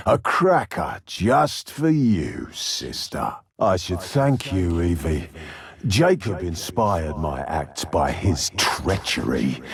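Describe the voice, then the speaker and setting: A man playing a menacing, sadistic serial killer villain in a video game, theatrical and taunting, with a raspy, gravelly voice. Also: deep voice, no room sound, studio quality, heavily acted. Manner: taunting, theatrical, drawn-out delivery.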